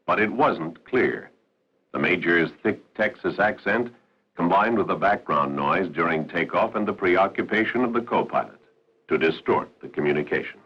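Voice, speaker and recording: A man with a very deep, rough-edged voice narrates a 1960s military training film in a formal, instructive way. His intonation moves widely, and the old soundtrack sounds muffled. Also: lively intonation. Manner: authoritative, measured, instructive.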